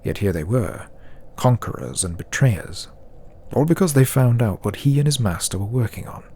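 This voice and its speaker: A man reading a scripted fantasy story as narrator, with dramatic, deliberate phrasing. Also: sits low, darkish tone, slight background noise. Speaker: audiobook narrator.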